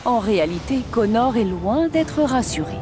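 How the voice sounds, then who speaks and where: Lively woman narrating a children's cartoon. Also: fairly high pitch, moderate pace, loud music behind the voice. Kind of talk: scripted children's story narration.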